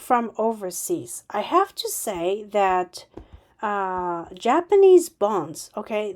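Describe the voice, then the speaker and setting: A woman's voice: mid-range voice, darkish tone. A woman hosting a solo podcast, speaking off the cuff with many restarts and sudden emphatic bursts. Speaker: podcast host. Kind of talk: unscripted solo podcast monologue.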